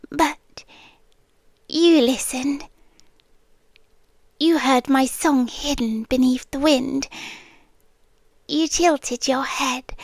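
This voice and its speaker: Woman acting slow, high-voiced British siren captor. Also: no room sound, full character voice. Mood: lonely, haunting. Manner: slow, coaxing, often dropping into whispers. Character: possessive ancient female siren, sweetly menacing yandere captor. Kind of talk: roleplay ASMR, soft intimate dramatic monologue.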